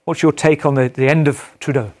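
Man, news panel host, brisk, conversational, slightly high voice. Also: fairly even intonation, darkish tone. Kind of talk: hosting a panel discussion.

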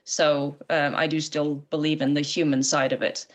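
Low-voiced woman screenwriter speaking earnestly. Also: little pitch movement, moderate pace. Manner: earnest, emphatic, occasional stammering restarts. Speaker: screenwriter guest. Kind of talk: opinionated discussion.

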